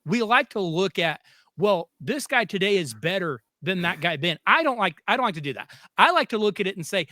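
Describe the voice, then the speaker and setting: Bright, high-voiced eager podcast host. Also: male voice, big pitch swings, fairly quick, no room sound, clean studio sound. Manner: eager, enthusiastic introductions and questions. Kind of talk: casual sports interview.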